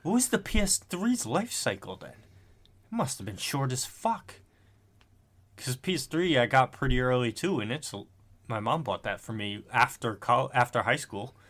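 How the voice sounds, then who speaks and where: Gravelly-voiced man rambling casually. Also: medium pitch, very animated, normal speed, fairly bright tone. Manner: rambling, self-correcting, swears casually. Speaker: podcast co-host. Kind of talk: casual chat. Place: home room.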